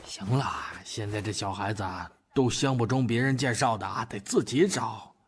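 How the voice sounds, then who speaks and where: A man acting a gruff, teasing, doting old grandfather. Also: fairly low pitch, normal speed. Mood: grumbling. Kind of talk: Chinese audio drama acting.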